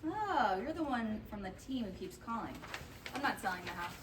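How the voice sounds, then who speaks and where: A woman's voice: medium pitch, very animated, moderate pace, close to an everyday voice. A woman playing a blunt, dryly defiant widowed mother and football fan in a live comedy table read. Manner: dry, unyielding. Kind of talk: live comedy script reading. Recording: distant mic, noisy live room.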